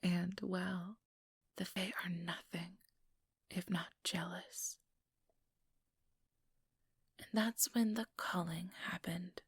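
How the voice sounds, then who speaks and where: A woman's voice: lowish voice, steady tone, dry recording, full character voice. Woman playing whispering, smugly persuasive vampire schemer. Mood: measured storytelling. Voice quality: breathy whisper. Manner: measured, condescending, persuasive. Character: ambitious, smugly manipulative female vampire recruiter. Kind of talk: ASMR role-play, whispered and close-up. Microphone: close ASMR microphone.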